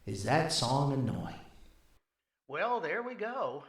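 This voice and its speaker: A man's voice: on the high side, huge pitch swings. Older man reminiscing, heavy echo. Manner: folksy, rambling, halting with repeated words. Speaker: podcast host, author. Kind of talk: nostalgic storytelling monologue. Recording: very echoey, reverberant voice recording.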